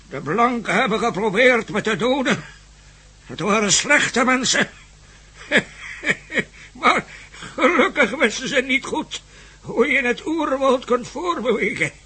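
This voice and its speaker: An old native villager in a radio drama, super raspy n bright, wary, hesitant, takes his time. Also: very high-pitched, lively intonation, heavily acted. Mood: halting, knowing. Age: older. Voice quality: raspy, husky. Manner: halting, hesitant, chuckling. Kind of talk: radio play acting.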